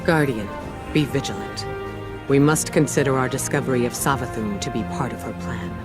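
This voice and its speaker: A man plays a resolute military leader from a video game. He is stern and authoritative, speaking at middle pitch in measured sentences, with music playing behind him. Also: lightly acted. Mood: grave, determined. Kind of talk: scripted game cutscene monologue.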